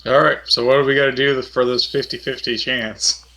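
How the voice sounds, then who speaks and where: A man's voice: medium pitch, flat delivery, fairly quick, bright, forceful tone, poor audio. A man playing a tabletop role-playing game, talking casually about what his character will do.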